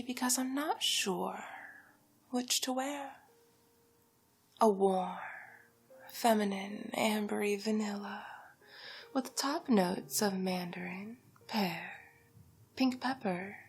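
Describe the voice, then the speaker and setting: Woman acting out vain glamorous villainess, toxic friend, breathy ASMR whisper, very slow pace, condescending drawl. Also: a little high, heavily acted. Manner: slow, drawn-out, condescending endearments.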